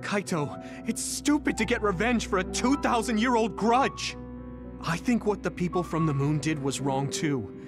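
An earnest young video game hero, defiant and principled. His voice is light and high for a male, indignant in delivery, with loud dramatic music underneath. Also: lively intonation, moderate pace. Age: young adult. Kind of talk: anime-style video game voice acting. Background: dramatic background music.

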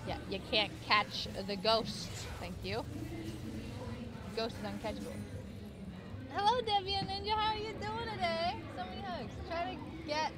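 A young woman streaming a game at a convention, chatting casually with a headset mic, giggling and yelping in excitement. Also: very high voice, normal speed, over loud music. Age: young adult. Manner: giggly, bursts into excited yelps. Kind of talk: casual live-stream chat while gaming. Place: busy gaming convention hall. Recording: loud crowd noise from a convention hall.

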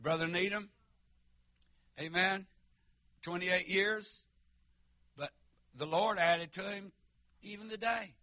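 A man preaching a fiery holiness sermon, with stammering restarts and shouts to the congregation. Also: high voice, slow, pauses often, no room sound. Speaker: pastor. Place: church sanctuary.

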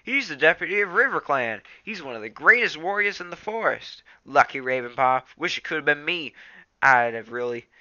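A man's voice: on the high side, animated, normal speed, pushed and bright, clear, resonant tone, no room sound, pitched above the performer's own voice. A man voicing an eager chatty young tomcat. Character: eager chatty young male apprentice cat. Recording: dull lo-fi recording.

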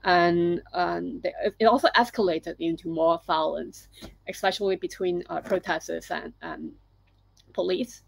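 Hong Kong woman, halting webinar talk. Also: on the low side, vocal fry, dry recording, low-quality recording. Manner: halting, many fillers and restarts. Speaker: photographer presenting her project. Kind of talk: webinar presentation. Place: living room at home. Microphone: laptop video call.

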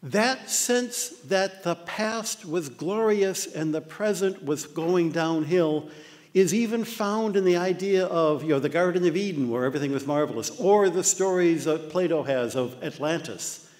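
Older American man, fairly high voice, lively lecturer in a big echoing hall. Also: unhurried. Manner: animated storytelling with mock voices. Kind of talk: illustrated talk. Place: dark lecture hall with projector screen. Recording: long hall reverb.

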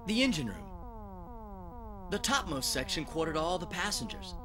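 A woman voicing an earnest, guarded teenage boy space cadet in an animated film dub, often exasperated. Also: lowish voice, moderate pace. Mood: matter-of-fact. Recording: reverberant soundtrack with faint music.

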